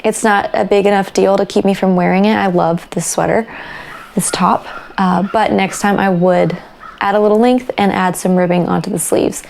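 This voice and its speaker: A young woman hosting a knitting podcast, chatting casually and relaxed, her voice raspy after a night of coughing. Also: mid-range voice, normal speed, slight background noise. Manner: chatty and relaxed, with fillers and small laughs. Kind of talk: casual chat about crafts.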